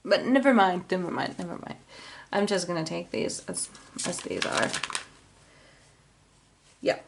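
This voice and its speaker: A woman's voice: lowish voice, very animated, a little slow, creaky, noisy background. A woman with a Finnish accent, a native Finnish speaker, giving a casual tarot reading to camera. She rambles, corrects herself and keeps apologizing. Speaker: tarot reader.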